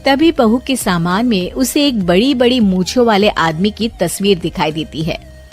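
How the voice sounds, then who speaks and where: A woman's voice: mid-range voice, expressive, a little slow, faint music behind. A woman storyteller giving scripted, dramatic narration of a moral tale.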